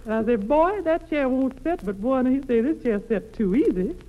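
Sassy high-voiced woman cook. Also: animated, moderate pace. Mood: mock indignant. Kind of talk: 1940s radio comedy acting. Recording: dull, noisy old radio recording.

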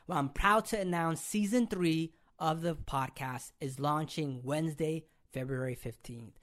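A man's voice: a little high, a little slow, fairly bright tone. A man hosting a hip-hop podcast and interview in a casual, slangy, informal way.

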